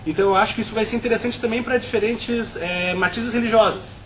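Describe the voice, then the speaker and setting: A man's voice: high-pitched, fairly even intonation, fast, a bit bright, slight background noise, rough recording. A Brazilian man on a film review panel, talking at length with frequent restarts and tag questions.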